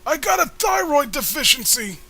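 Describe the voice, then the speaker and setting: A man voicing a bubbly, boastful fourteen-year-old girl in a strained, unconvincing falsetto. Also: very animated, normal speed, pushed and bright, heavily acted. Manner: gushing, self-adoring.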